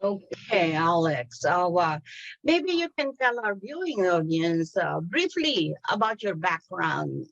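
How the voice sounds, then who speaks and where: Slow-talking woman hosting an interview. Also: fairly low pitch, sing-song, creaky, a bit bright. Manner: chatty, hesitant, with many ums and restarts. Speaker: TV interview host. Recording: slightly dull, lo-fi sound.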